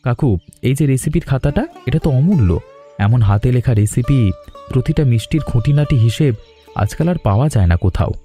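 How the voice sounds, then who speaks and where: A man as a very mellow, courteous young traveller. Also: medium pitch, animated, husky. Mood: sincere. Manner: polite, measured, earnest. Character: courteous, reassuring young male traveller with business sense. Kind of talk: cartoon drama dialogue. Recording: music under the voice.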